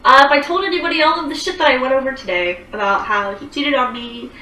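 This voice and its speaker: A woman's voice: sits high, fast, sharp tone, reverberant, recorded from a distance, a little hiss or hum, rough recording. A woman in her twenties chatting casually on a livestream, rambling with lots of fillers as she answers viewer comments. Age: 20s.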